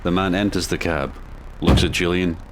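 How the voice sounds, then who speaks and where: A man's voice: sits low, fairly even intonation, normal speed, noisy background. A man giving scripted narration for an audio drama.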